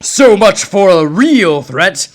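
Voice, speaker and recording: A man's voice: sits high, very animated, slow, pitched above the performer's own voice, exaggerated voice. A man voicing a sinister male villain disguised as a ghost, in a dramatic comic reading. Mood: menacing.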